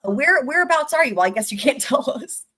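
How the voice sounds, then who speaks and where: A woman hosting a livestream in casual chat, rambling with many fillers and greeting viewers by name with upbeat shout-outs. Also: high-pitched, expressive, fast. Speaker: livestream host.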